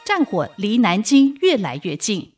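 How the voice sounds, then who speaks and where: A woman's voice: medium pitch, sing-song, unhurried, a little mellow, distant mic, faint music behind. A woman playing the solemn, formal narrator of a wartime radio drama, reading scripted storytelling.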